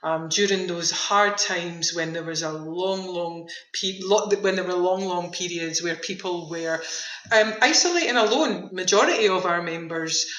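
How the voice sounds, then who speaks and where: Bright-voiced woman in an echoey room. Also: lowish voice, measured pace. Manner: enthusiastic, emphatic, repeats words for emphasis. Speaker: veterans' activity centre manager, interview guest. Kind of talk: conversational radio interview.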